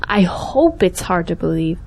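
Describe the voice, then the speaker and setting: A woman's voice: fairly low pitch, sing-song, a little slow, vocal fry, darkish tone, full character voice. A young woman playing a principled, outspoken schoolteacher in an audio drama, earnest and pointed. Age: young adult.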